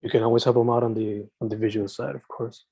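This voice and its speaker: A man hosts a podcast interview in a mellow, warm voice, starting his questions with a hesitant uh. His sound is dry and close, with no room echo. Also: mid-range voice, level tone, fast talker, creaky, husky. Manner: brief affirmations, hesitant uhs before questions. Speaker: podcast host.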